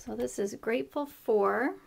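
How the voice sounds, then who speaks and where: Chatty woman with a slightly rough voice. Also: on the high side, unhurried. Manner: chatty, friendly explaining with fillers. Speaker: craft tutorial host. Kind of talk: casual stamping tutorial. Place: craft table indoors.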